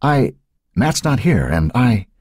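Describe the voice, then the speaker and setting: A man's voice: medium pitch, big pitch swings, slow, darkish tone, slightly rough, dry recording, studio quality, pitched above the performer's own voice, exaggerated voice. A man voicing an anguished, unstable woman in an audiobook, fearful, pleading and distraught. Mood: nervous, guarded. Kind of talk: audiobook character voice.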